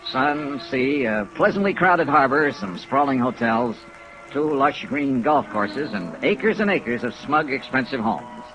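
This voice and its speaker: A man's voice: mid-range voice, sing-song, a little slow, music in the background. A man acting as a wry, patient insurance investigator in a 1950s radio drama, clipped and dry in his delivery. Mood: dry, matter-of-fact. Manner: clipped, dry, hard-boiled. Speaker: lead actor, narrator. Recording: noisy vintage 1950s radio recording.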